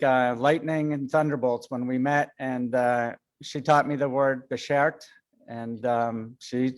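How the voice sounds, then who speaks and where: A man from Chicago giving a casual lecture over a video call, hesitant with many fillers and self-deprecating. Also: medium pitch. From Chicago, United States.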